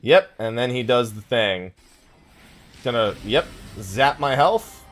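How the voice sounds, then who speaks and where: Male streamer, casual let's play commentary, animated swinging pitch, middle register, talking to himself, game music behind. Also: takes it slowly. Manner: talks to himself while playing.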